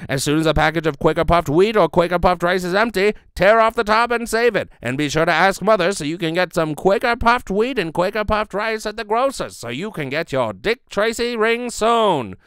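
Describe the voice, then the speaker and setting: A man's voice: high voice, expressive, normal speed, hardly any pauses, fairly bright tone, smooth, no room sound. A man playing an enthusiastic 1930s radio announcer, emphatic and salesmanlike. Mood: enthusiastic selling. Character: booming, enthusiastic male radio announcer pitching cereal premiums.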